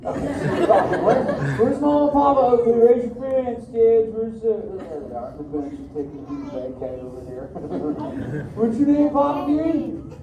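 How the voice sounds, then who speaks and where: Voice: medium pitch, expressive, measured pace, natural voice. A performer voicing a laid-back surfer dude sea turtle in a live theater show, chatting playfully with the audience. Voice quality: drawling, goofy, frequent "dude". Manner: playful, chats with audience, laughs a lot. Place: indoor theater. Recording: echoey theater recorded from far with noise.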